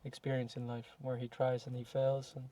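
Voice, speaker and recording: A man's voice: mid-range voice, completely flat delivery, brisk, dark tone. A young man talking casually and reflectively in a podcast chat, with many fillers and self-corrections. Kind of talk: casual conversation.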